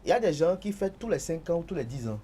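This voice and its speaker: A man's voice: on the high side, big pitch swings, racing, mellow. A man on a studio panel, talking insistently and repeating words as he cuts in. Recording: faint background noise.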